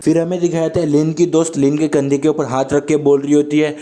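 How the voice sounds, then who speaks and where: A man's voice: fairly high pitch, level tone, quick pace, warm tone. A young man with a sore throat, casually recapping an anime and reading the characters' lines in his plain voice. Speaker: anime explainer narrator.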